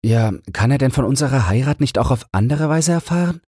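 A hurt, insistent young woman in a literary audiobook, voiced by a male narrator. The pitch is lifted well above his usual deep register, with a breathy tone and dry recording. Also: expressive, fairly quick, a little mellow, dry recording. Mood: reproachful.

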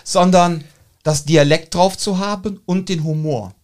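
A man's voice: high-pitched, a little slow, a little mellow. A man chatting casually, acting out dialogue and often tagging questions onto his sentences. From Cologne, Germany. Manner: acts out dialogue, frequent tag questions. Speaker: podcast host. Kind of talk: casual chat with animated anecdotes.

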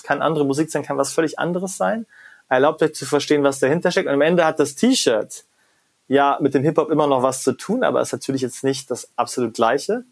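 A middle-aged German man, a professor, answering interview questions in a reflective, animated way and often ending phrases with "ja?" or "ne?". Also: mid-range voice, slightly rough. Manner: reflective, animated, frequent "ja?" and "ne?" tags. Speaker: guest, professor of creativity and design.

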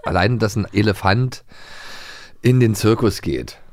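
A man's voice: lowish voice, a little slow, a little mellow, no room sound, clean studio sound. A man co-hosting a podcast in a casual, playful, joking way. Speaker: podcast co-host.

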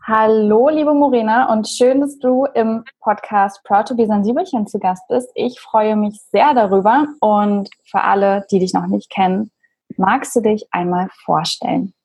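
A woman's voice: medium pitch, steady tone, moderate pace, darkish tone, husky, no room sound, poor audio. A woman hosts a podcast interview in a casual, chatty way. She asks friendly, encouraging questions in a mid-range voice. The sound is dry and close to the mic. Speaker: podcast host.

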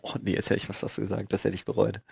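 A man's voice: on the low side, completely flat delivery, quick pace, gravelly, a little mellow, slightly rough, no echo. Slangy man, close dry recording. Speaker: podcast host. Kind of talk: casual, slangy banter with friends.

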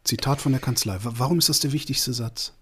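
A man's voice: medium pitch, fast talker, fairly bright tone, breathy edge. A male podcast host asking short, direct questions in a casual interview.

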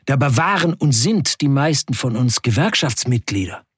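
Husky, defiant striking worker, played by a man. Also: mid-range voice, expressive, moderate pace, no echo, studio quality. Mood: tense, indignant. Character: defiant striking 1970s factory worker, a man recounting events. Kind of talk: dramatic first-person radio play narration.

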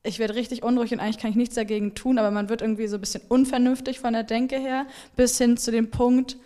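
A woman's voice: on the high side, level tone, fast talker, dark tone. A young woman hosting a casual podcast conversation, chatty and asking follow-up questions. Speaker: podcast host.

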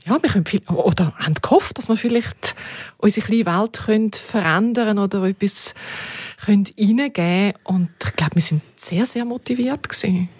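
Mature woman, Swiss German accent, husky breathy tone, emphatic reflective conversation, dry close sound. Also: mid-range voice, normal speed, darkish tone, dry recording. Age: middle-aged or older. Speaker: podcast guest, former radio editorial head.

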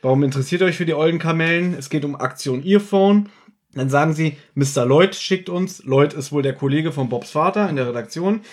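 A man's voice: on the high side, brisk. A man hosting a podcast, chatting casually as he recaps an audio drama. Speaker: podcast host.

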